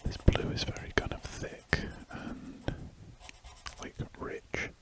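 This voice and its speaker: A man whispering throughout as he chats casually while drawing, an ASMR host who rambles and corrects himself mid-sentence. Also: slow pace. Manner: soft unvoiced whisper, rambling and self-correcting.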